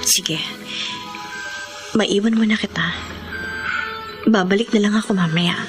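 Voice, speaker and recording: Actress as soft, supportive Filipino woman friend. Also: medium pitch, unhurried, music in the background, close to an everyday voice. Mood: gentle concern. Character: devoted, supportive female friend, gentle and sad. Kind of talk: Filipino radio drama acting.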